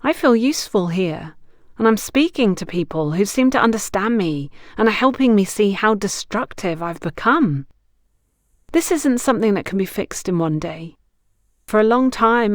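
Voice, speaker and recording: A woman's voice: medium pitch, animated, moderate pace, no room sound, studio quality, lightly acted. A woman voicing a troubled, needy, impulsive young woman in audiobook dialogue, with an emphatic, dramatic delivery. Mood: remorseful, earnest.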